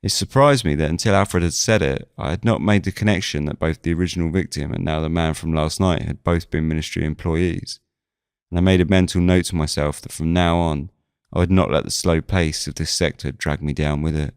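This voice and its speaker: A man reading scripted first-person audio drama narration in a measured storytelling manner. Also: a bass voice, steady tone, clear, resonant tone, no room sound, studio quality. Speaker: narrator and lead of a fiction podcast.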